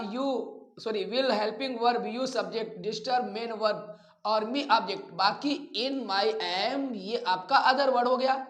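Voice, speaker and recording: Male Indian grammar teacher, unhurried and deliberate, instructing students step by step, roomy echo on the recording. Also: extremely high pitch, a bit bright. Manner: deliberate, repeats phrases, addresses students directly. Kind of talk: instructional lesson, explaining step by step. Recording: echoey room.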